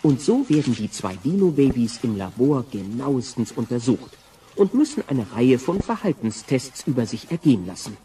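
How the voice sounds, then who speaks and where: An actor narrating a comic dinosaur tale in scripted German audio drama storytelling style. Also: male voice, medium pitch, big pitch swings, normal speed, dark tone, slight background noise, close to an everyday voice, poor audio.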